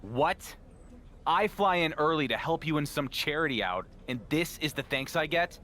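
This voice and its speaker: A man's voice: fairly high pitch, animated, moderate pace, pushed and bright, a little hiss or hum. An actor playing a level-headed, skeptical champion wrestler in a video game cutscene, talking as a dry, incredulous straight man. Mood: incredulous, exasperated.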